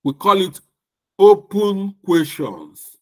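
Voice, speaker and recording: Middle-aged Nigerian-accented male lecturer, slightly high voice, slow and deliberate. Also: sing-song, a bit bright. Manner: slow, deliberate, with emphatic repetition. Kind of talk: recorded lecture.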